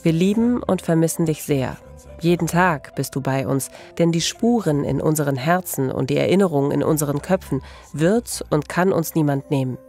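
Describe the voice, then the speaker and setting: A woman, narrating a true-crime podcast as host in a measured, scripted style. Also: deep voice, mellow. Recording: voice over music bed.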